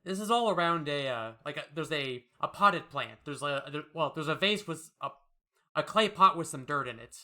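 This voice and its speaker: A man co-hosting with loose, agreeable, halting casual commentary on a comic. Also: a little high, lively intonation, pushed and bright. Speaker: co-host.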